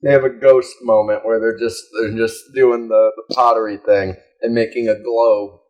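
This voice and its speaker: A man's voice: on the low side, level tone, moderate pace, lo-fi sound. Young guy rambling on a podcast, crude jokes, lots of uh and like. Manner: rambling, crude, frequent fillers and laughs. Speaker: podcast co-host. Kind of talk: casual comedic chat.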